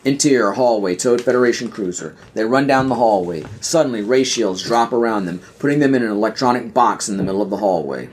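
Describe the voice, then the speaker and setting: A man's voice: fairly low pitch, lively intonation, a little fast, hardly any pauses. A man narrating an audio play in a scripted, screenplay-reading style.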